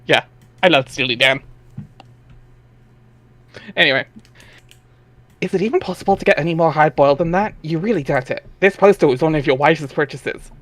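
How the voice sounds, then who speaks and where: A man with a slightly high voice narrates a story aloud on a headset mic. His delivery is informal, slipping between reading and casual chat with friends. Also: brisk, pauses often, fairly bright tone, no echo. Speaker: narrator of a webcomic dramatic reading.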